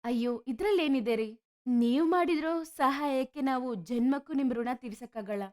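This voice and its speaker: A woman voicing a frank, warm-hearted young village daughter in a story. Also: high voice, normal speed, close to an everyday voice. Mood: grateful. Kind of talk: animated moral story dialogue.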